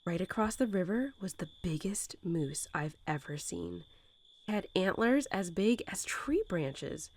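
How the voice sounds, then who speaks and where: Woman doing a gentle mama wolf, soft lowish voice, slow cozy bedtime talk for her pups, crickets chirping. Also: animated. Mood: soft wonder. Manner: tender, unhurried, lulling storytelling. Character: gentle nurturing mother wolf talking to her newborn pups. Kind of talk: soft-spoken roleplay bedtime audio.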